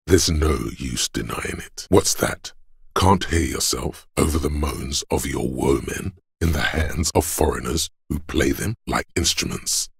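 A man voicing a grim, stoic inner voice of endurance for a game, in a solemn, portentous manner with a husky, gravelly quality. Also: rumbling low, a little slow, no echo, studio quality, full character voice. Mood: grim, taunting. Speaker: voice actor. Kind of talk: dramatic game narration, second person.

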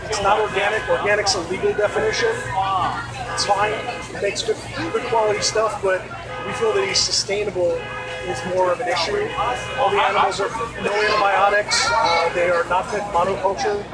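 A man's voice: sits high, fairly even intonation, moderate pace, far from the mic, loud music behind the voice, rough recording. An American man in his thirties, a market vendor casually explaining what he sells. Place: open market tent outdoors. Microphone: phone camera a few feet away. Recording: loud outdoor noise, off-mic.

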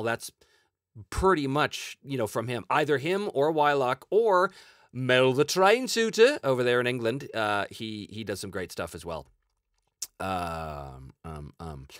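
Relaxed Canadian man chatting on stream. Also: mid-range voice, dry recording, clean studio sound. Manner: rambling, chuckling, relaxed. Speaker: host answering viewer questions. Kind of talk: casual chat. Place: small room at home. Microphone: headset-style, webcam framing.

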